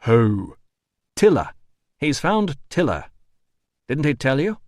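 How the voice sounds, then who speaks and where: A man's voice: medium pitch, sing-song, slow pace, no room sound, clean studio sound, voice pushed up. A man voicing an insistent army doctor in an audiobook, with a clipped, authoritative manner. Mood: urgent. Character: urgent, commanding male army doctor. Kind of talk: audiobook character voice.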